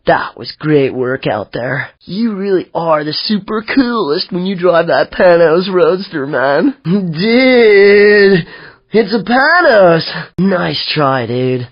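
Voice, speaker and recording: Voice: mid-range voice, sing-song, slow, no echo, lo-fi sound. An actor voicing a laid-back young hippie surfer dude announcer in a racing video game, drawling in easygoing slang. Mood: excited, amused. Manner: drawling, mellow slang, easily amused.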